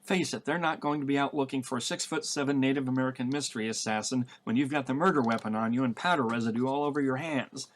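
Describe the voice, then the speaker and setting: A man voicing a sharp, tough-talking woman on the run in a novel reading, blunt and sarcastic. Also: mid-range voice, fast talker, few pauses, natural voice. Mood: exasperated.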